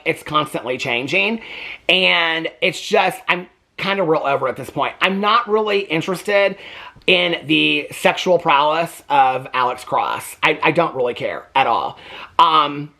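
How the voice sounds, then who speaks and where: A man chatting casually about books he has read, reading synopses aloud and breaking off with wry complaints and asides. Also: a little high. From United States. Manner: animated, chatty, self-interrupting, wry complaints. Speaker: book reviewer.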